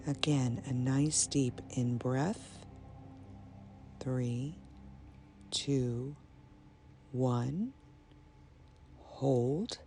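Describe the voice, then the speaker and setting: Very slow, gravelly woman guiding meditation. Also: very deep voice. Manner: measured, pausing between short phrases. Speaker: meditation guide. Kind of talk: guided meditation, slow and soothing. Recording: soft binaural music bed. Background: ambient binaural meditation music.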